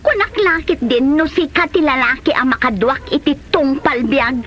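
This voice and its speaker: A woman's voice: sits high, moderate pace, a bit bright. A woman playing an indignant, accusing woman in an Ilocano comedy drama. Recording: dull lo-fi audio with music behind.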